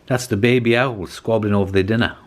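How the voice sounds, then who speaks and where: A man voicing a kindly, patient old grandpa in a storybook, knowing and gently teasing. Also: on the low side, steady tone, a little fast, a little hiss or hum, close to an everyday voice. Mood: hushed wonder. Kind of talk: storybook character voice.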